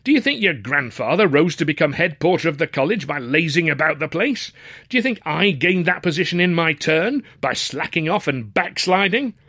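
A man's voice: on the high side, very animated, moderate pace, sharp tone, dry recording, clean studio sound, pitched above the performer's own voice, exaggerated voice. A man voicing an irate, blustering father, a strict college head porter who scolds in indignant questions in an audiobook. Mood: scolding. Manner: indignant, haranguing with rhetorical questions. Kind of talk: audiobook character voice.

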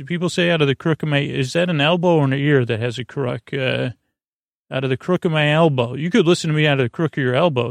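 A man hosting a bedtime podcast in a creaky, lulling voice, halting and stammering through meandering, goofy tangents. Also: mid-range voice, no echo, clean studio sound. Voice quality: creaky, drawling. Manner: lulling, soothing. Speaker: host of a bedtime sleep podcast. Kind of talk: rambling, meandering bedtime monologue.

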